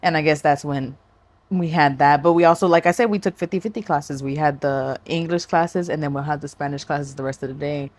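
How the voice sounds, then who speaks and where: A woman with a fairly low voice co-hosts a casual podcast with her best friend. She jokes, laughs easily and drops Spanish phrases into her English. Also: a little fast, darkish tone, no room sound. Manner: joking, laughing, mixes Spanish into her talk. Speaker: podcast co-host. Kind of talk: casual chat.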